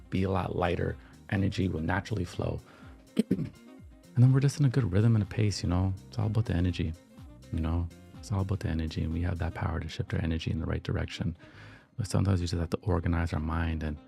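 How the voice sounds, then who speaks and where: Slightly hoarse man, deep voice, gravelly, laid-back livestream chat, soft music behind. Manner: relaxed, reflective, slangy. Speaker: podcast and livestream host. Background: soft background music.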